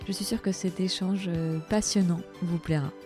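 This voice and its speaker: A woman's voice: on the low side, fairly even intonation, moderate pace, over music. A woman hosting a podcast, moving between a scripted introduction and casual interview talk.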